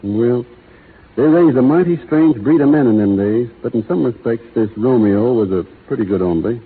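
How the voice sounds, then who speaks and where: An actor playing a laconic young cowboy who talks in a slow, folksy rural drawl, in a 1930s radio drama. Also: male voice, mid-range voice, slightly rough, natural voice. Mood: opinionated. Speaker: lead actor in a radio play. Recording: tinny 1930s radio broadcast with faint hiss.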